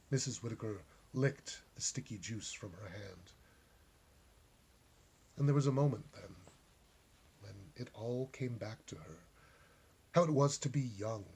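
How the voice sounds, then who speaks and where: Very husky, expressive male storyteller. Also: mid-range voice. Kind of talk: expressive short story reading.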